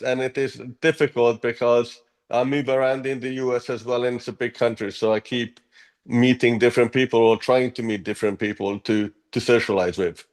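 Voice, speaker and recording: A man's voice: mid-range voice, a little mellow. A man from Hungary, an app co-founder, giving earnest interview answers with frequent ums and restarts.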